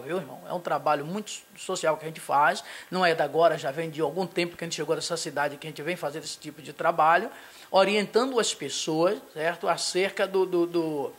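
A middle-aged man, a pastor from Brazil, giving a halting local TV interview full of fillers and restarts, speaking into a handheld mic in a small studio. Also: sits high, a bit bright. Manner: hesitant, many fillers and restarts, tag questions. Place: small studio in front of a logo backdrop.

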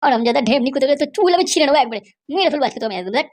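A performer acts out a blustering husband and father in a comedy cartoon. He is short-tempered and scolding. His voice is oddly high for a man and races along at a very quick clip. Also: lively intonation, noisy background. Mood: furious. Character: hot-tempered, foul-mouthed father and husband.

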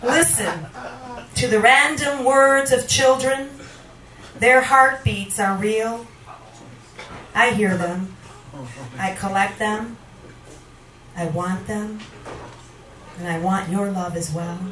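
A middle-aged woman performs poetry in a bright, forceful voice, unhurried and dramatic, slipping at times into sing-song. She is on a handheld mic in a small venue, and the recording is roomy, with some background noise. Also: medium pitch, distant mic. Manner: dramatic, deliberate phrasing with pauses, occasionally sing-song. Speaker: featured poet at a reading. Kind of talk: live poetry recitation. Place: small wood-paneled bar venue, indoors. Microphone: handheld microphone, filmed from across the room.

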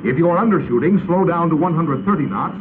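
An American man narrating a 1950s instructional film in a crisp, authoritative, instructional manner. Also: a little high, expressive, fast. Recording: tinny, noisy 1950s film soundtrack.

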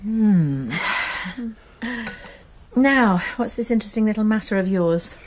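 A brisk, inquisitive nurse-detective in a radio drama, with a middling, natural pitch. She questions and puzzles things out. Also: expressive, a little mellow, slight background noise. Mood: curious. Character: sharp, curious college nurse sleuth. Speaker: college nurse, amateur detective. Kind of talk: BBC radio drama acting.

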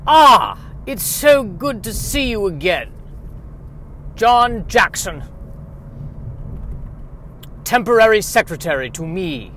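Grand sci-fi radio scientist, theatrical, drawn-out dramatic delivery, forceful, raised pitch. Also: male voice, sing-song, slight background noise, full character voice. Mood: grandly dramatic. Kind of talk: 1950s radio drama acting.

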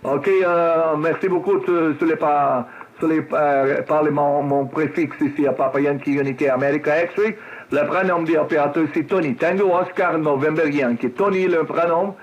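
A Brazilian man, a native Portuguese speaker, chatting as a radio operator in a halting, repetitive way. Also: on the high side, lo-fi sound. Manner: halting, repetitive foreign-language speech. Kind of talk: casual radio contact chat with callsign spelling. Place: cluttered home radio desk indoors.

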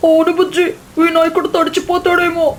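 Very high, timid child bird. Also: female voice, monotone, brisk, a little hiss or hum. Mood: worried. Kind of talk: cartoon moral story character acting.

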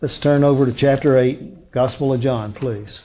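A man in his sixties preaching as a pastor, teaching from scripture in a deliberate, emphatic manner with rhetorical questions and pauses. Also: medium pitch, steady tone, dark tone. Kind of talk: sermon, expository Bible teaching from a lectern. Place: indoor lectern on a curtained stage.

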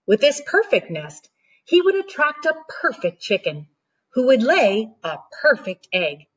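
Animated, high-pitched woman reading a storybook. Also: breathy edge. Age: middle-aged. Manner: expressive, deliberate. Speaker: teacher reading aloud to children. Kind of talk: animated storybook read-aloud. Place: living room at home, indoors.

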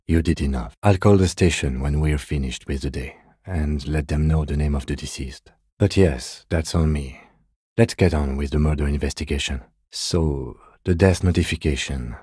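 An actor playing a composed, dry police detective in a video game, speaking in a measured, restrained, matter-of-fact way with a soft husky edge. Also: male voice, extremely low pitch, no echo. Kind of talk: video game dialogue acting.

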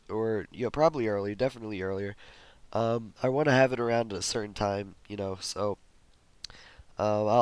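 A young man with a sick, hoarse voice, rambling through casual gameplay commentary with many fillers and restarts. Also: fairly low pitch, darkish tone, dry recording. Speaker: gaming commentator.

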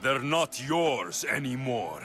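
An actor voicing a resolute, self-assured young warrior in a video game, firm and cool. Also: male voice, mid-range voice, big pitch swings, slow pace, fairly bright tone. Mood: defiant. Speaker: hero of an action game. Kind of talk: dramatic video game acting. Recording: game music behind the voice.

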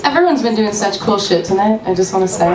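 A young woman from Ohio with a raspy voice, chatting quickly and self-deprecatingly on stage at an open mic. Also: a little high. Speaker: open mic singer-songwriter. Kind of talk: casual stage banter. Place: small club stage with red curtain. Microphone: stand mic seen from far camera. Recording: echoey room from far off with guitar.

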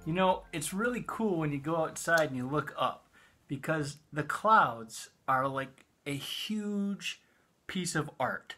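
A man's voice: on the high side, lively intonation, slow pace, sharp tone, faint music behind. A middle-aged American man hosting a storytime for children, talking to them as an educator. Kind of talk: friendly storytime talk to children. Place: small indoor room with bookshelves.

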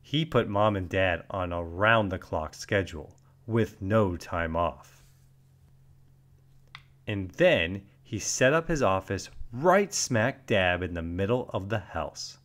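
A man reading a children's storybook aloud as narrator, with deliberate, dramatic emphasis and expressive delivery. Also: low voice.

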